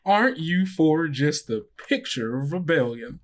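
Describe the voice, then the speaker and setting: A man voicing a brisk, chatty, theatrical female bus driver in a tabletop game. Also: a little high, lively intonation, voice pushed up. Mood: amused, hurried.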